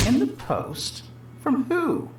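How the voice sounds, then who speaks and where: A man's voice: very high voice, huge pitch swings, slow pace, full character voice. A man playing a baffled, inquisitive detective in a mystery video game, asking incredulous questions. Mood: puzzled, incredulous. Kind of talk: scripted video game dialogue. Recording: echoey, with music behind.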